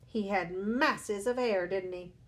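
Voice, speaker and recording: A woman's voice: mid-range voice, slow pace, close to an everyday voice. A woman voicing a boastful, brash middle-aged father who blusters and snaps rudely. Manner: loud blustering, snapping.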